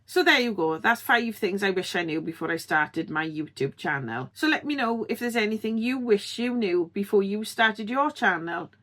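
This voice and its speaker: A middle-aged woman with a strong Welsh accent hosts her own channel and talks straight to the viewer. Her voice sits at an ordinary, mid pitch. She sounds encouraging and chatty, often checking in with a friendly "okay?". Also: lively intonation, normal speed, few pauses, a bit bright. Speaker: YouTube channel host. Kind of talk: direct-to-camera tips video.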